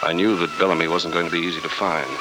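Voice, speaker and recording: An actor playing a cool, dry-witted investigator, deadpan and unhurried, in a 1940s radio drama. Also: male voice, low voice, over loud music, lightly acted. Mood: wry narration. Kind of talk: 1940s radio acting, first-person narration. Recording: very noisy old radio recording.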